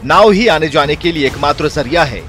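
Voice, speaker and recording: A man giving scripted news narration in a formal, measured way. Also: on the high side, expressive, fairly bright tone, soft background music. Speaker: news reporter.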